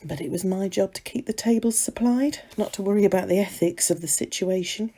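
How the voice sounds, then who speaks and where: A woman reading a dramatic monologue as a gossipy serving maid of ancient times, confiding and conversational, with dramatic pauses. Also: medium pitch, mellow, slightly rough.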